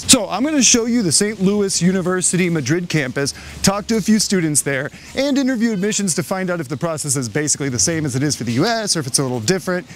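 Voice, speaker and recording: A man's voice: fairly high pitch, a little hiss or hum. A man in his thirties hosting a college vlog, talking animatedly to a handheld camera outdoors on a city street. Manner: animated, explanatory. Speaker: college counselor host. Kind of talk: upbeat vlog presenting.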